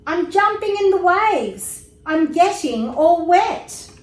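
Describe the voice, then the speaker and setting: A woman voicing an excitable, imaginative little boy in a storybook, exclaiming with childlike enthusiasm. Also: very high-pitched, takes it slowly, far from the mic, voice pushed up, full character voice. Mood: excited shouting. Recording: roomy echo with faint background noise.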